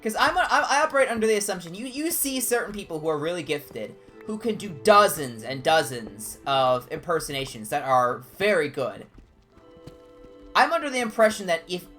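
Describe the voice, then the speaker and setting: A man with a higher-pitched voice, chatting casually and discursively as a game streamer. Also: normal speed, soft background music. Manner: animated, discursive, jumping between tangents. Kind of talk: casual rambling livestream chat during gameplay.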